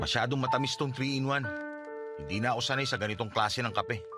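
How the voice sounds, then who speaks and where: A man's voice: mid-range voice, fairly quick, loud music behind the voice, lightly acted. A man playing a cold, exasperated husband in a radio drama, speaking curtly. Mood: impatient. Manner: curt, exasperated. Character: cold, irritated husband pushing to end his marriage. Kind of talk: radio drama dialogue in Tagalog.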